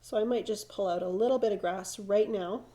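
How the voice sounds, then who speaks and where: A woman's voice: mid-range voice, brisk. A middle-aged woman with a slightly froggy, stuffed-up voice from hay fever, thinking aloud as she demonstrates watercolor painting. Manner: relaxed, thinking aloud while painting, frequent restarts. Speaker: watercolor instructor.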